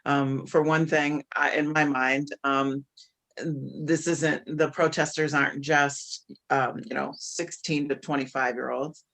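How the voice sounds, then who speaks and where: A man speaking reflectively and haltingly in a casual discussion on a video call, often posing rhetorical questions. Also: on the high side, flat delivery, gravelly, fairly bright tone. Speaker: discussion participant who coaches executives.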